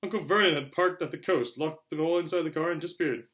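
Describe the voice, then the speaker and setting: A man reading a storybook aloud as a narrator, in an expressive, theatrical style. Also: on the high side, quick pace. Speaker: audiobook reader.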